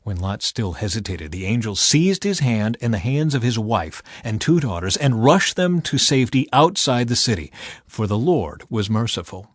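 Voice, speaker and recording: Man, audiobook narrator, expressive scripted reading, lively varied intonation, mid pitch, dry recording. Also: moderate pace, no echo.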